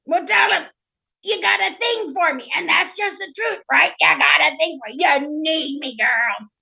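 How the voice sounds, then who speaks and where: Woman playing a sly storybook frog guy, high voice, brash, sweet-talking wheeler-dealer. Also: normal speed, creaky, sharp tone, exaggerated voice. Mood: smooth, persuasive. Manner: brash, cajoling, streetwise patter. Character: cocky, wheedling male talking frog, sly sweet-talking bargainer. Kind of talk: live storytelling of a fairy tale.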